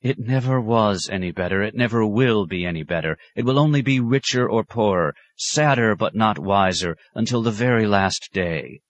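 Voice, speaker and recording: A man's voice: fairly low pitch, normal speed, hardly any pauses, no room sound, voice pushed up, natural voice. Guy voicing a cranky old abbot, sarcastic, kinda preachy, in an audiobook. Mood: stern. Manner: stern, biting sarcasm. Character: older male abbot, stern and sarcastic.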